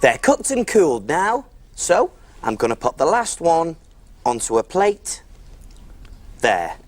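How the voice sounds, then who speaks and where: A man's voice: a little high, sing-song, takes it slowly, slight background noise. A man playing a friendly big cook who presents simple step-by-step cooking on a preschool show, in an encouraging way. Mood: cheerful. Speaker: children's cookery presenter.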